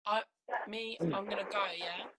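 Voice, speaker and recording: A woman chatting on a live stream, soft and hesitant, giving short replies. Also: mid-range voice, steady tone, moderate pace. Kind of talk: casual live-stream chat.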